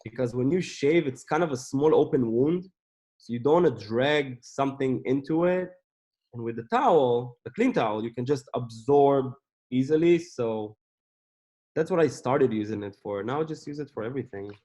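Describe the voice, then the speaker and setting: A man, a company co-founder, explaining his business in a relaxed, casual interview. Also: medium pitch, normal speed.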